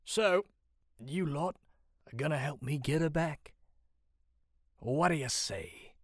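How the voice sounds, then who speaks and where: A man's voice: a little high, no echo, clean studio sound, pitched above the performer's own voice. Drunk male sea captain, slurred, drawling delivery, snarky, roguish, fantasy audiobook character. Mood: cheeky, bold. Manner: slurred, snarky, jesting, drawn-out.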